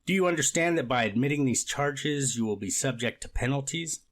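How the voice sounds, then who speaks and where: Court official, played by a man: middle-register voice, measured, procedural, scripted legal reading. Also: mid-range voice, fairly bright tone, natural voice. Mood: procedural, authoritative. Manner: measured, formal, methodical. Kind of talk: amateur readers theater, scripted legal reading.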